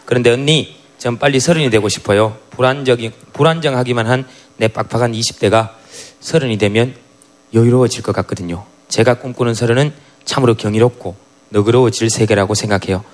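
A man's voice: medium pitch, a little fast. A man on a TV music show reading listener comments in comic banter, acting out funny voices. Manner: lively, acts out funny voices, laughs often. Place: TV music show studio stage. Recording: broadcast studio with live audience.

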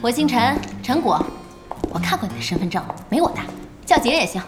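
High female voice, animated intonation, young cafe owner, brisk, bossy, direct, audio drama. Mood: brisk, businesslike. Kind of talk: Chinese audio drama acting. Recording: music and crowd sounds behind.

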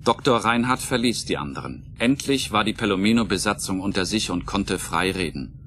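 Low-voiced man narrating a radio play. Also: expressive, moderate pace, a bit bright, a little hiss or hum. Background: music under the voice.

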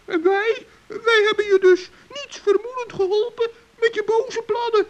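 A performer playing a small, good-hearted old male gnome in a children's radio play, earnest and fretful, with a squeaky, plaintive voice on a muffled old recording. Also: fairly even intonation, unhurried. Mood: worried, plaintive.

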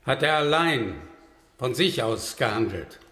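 A man's voice: medium pitch, expressive, unhurried, a bit bright. An actor playing a stern presiding judge in a radio drama, formal and declamatory in his delivery. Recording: reverberant hall.

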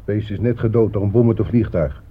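An actor playing an earthy, grumbling army cook, a blunt and superstitious old hand of the front, in a radio play. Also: male voice, fairly low pitch, a little fast, slightly rough. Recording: thin phone-like old radio recording.

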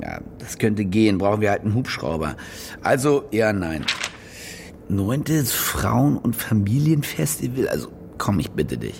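A man's voice: mid-range voice, creaky, noisy background. A man playing a cynical, demanding star war reporter in a naturalistic radio drama, brusque and self-important.

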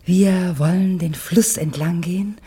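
A woman playing a gentle, pensive mother in a literary radio play, speaking in a measured, tender, poetic way. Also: lowish voice, husky. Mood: tender, wistful.

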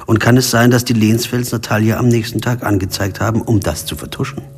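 An actor playing a sober, insistent lawyer who investigates and narrates in a German radio crime drama. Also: male voice, on the low side, steady tone, fairly quick, lightly acted. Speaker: narrator and lead character.

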